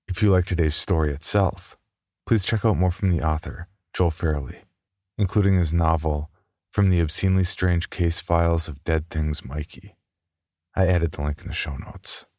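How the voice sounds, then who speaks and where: A man with a deep voice hosts a podcast with informal announcements. The sound is dry and close to the microphone. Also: darkish tone, no echo. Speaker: podcast host.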